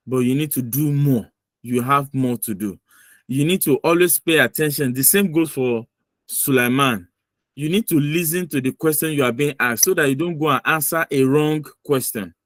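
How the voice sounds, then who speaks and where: A man's voice: medium pitch, dry recording, studio quality. A man running a mock visa interview on a video call, giving firm, lecturing feedback and repeating his points for emphasis.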